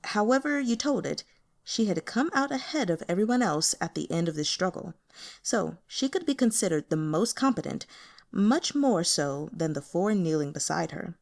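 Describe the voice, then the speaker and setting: A woman's voice: fairly low pitch, expressive, moderate pace, vocal fry, a little mellow. A woman reading a fantasy novel aloud as scripted audiobook narration. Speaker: audiobook reader.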